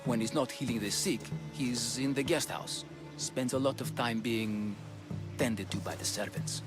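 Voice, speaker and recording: An actor playing a calm, grave sanctuary priest in a video game, speaking in a measured, solemn way. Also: male voice, medium pitch, music in the background, lightly acted. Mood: helpful. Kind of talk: video game dramatic dialogue.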